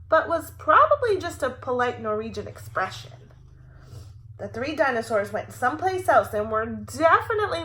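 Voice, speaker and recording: A woman reads a children's story aloud in a high, bright voice. Her pitch moves up and down a great deal, and her delivery is expressive and playfully wry, pitched to young listeners. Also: low-quality recording. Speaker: teacher reading aloud to preschoolers. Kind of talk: animated picture-book read-aloud for young children.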